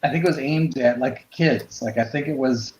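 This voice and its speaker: Man, casual comics talk, tentative and halting delivery, dull muffled sound, echoey room. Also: medium pitch, a little mellow, slight background noise. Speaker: panelist on a comics drawing show. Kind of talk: casual chat about comics history.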